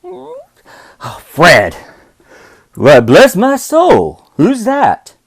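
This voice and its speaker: A delighted young nephew calls out an excited, high-pitched welcome, as a man puts him on for a storybook reading. His voice bounces up and down. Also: drawn-out words, creaky, husky, heavily acted.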